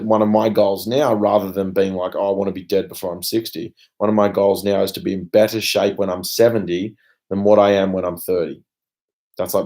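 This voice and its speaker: A man's voice: low-pitched, a little mellow. A young Australian man speaking off the cuff in a personal video rant, blunt and emphatic, with casual swearing and trailing asides. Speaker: vlogger. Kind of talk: unscripted personal rant to camera.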